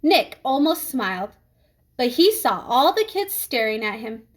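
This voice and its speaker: A woman reading a children's book aloud with expressive storytelling phrasing. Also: a little high, unhurried.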